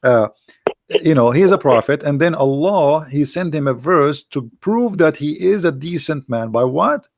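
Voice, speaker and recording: A man hosting a talk show, mocking and sarcastic, going hoarse as he loses his voice. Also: on the high side, expressive, normal speed, no echo, clean studio sound. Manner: mocking, sarcastic, with a non-native grammar. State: losing his voice. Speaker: host.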